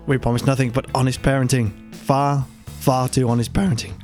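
A man's voice: medium pitch, level tone, brisk, a little mellow, soft background music. A man hosting a podcast, chatting loosely with his co-hosts, swearing freely and laughing a lot, a bit tipsy. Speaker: podcast host. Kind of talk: casual banter with co-hosts.

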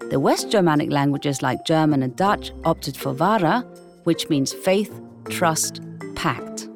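A woman with a Danish accent narrates from a script in a precise, earnest manner. Her voice is low. Clear music plays under her. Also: a little slow. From Denmark. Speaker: language segment presenter. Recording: music bed behind voice.

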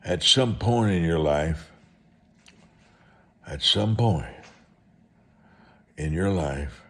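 Slow, deep-voiced American male preacher. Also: lively intonation. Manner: deliberate, emphatic, repeats short phrases. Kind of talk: motivational sermon with storytelling.

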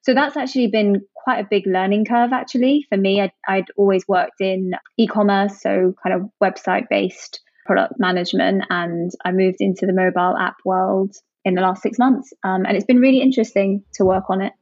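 An upbeat young woman from Jersey talks through her work as a guest, chatty and open, leaning on "actually" and "you know". Her voice sits in a middle pitch. The sound is dry and a little dull. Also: steady tone, a little fast, hardly any pauses, darkish tone, dry recording. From Jersey, Channel Islands. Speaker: product manager, guest. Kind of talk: conversational interview answers.

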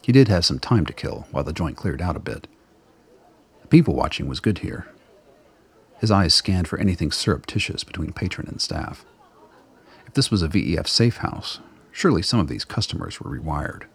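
Deep, gravelly male narrator. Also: very animated, moderate pace. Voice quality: gravelly, husky. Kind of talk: dramatic scripted storytelling.

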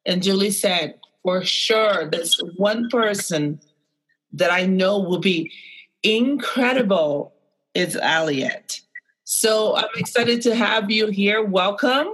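A woman's voice: mid-range voice, takes it slowly, a bit bright, rough recording. A woman speaking conversationally as the host of an interview podcast.

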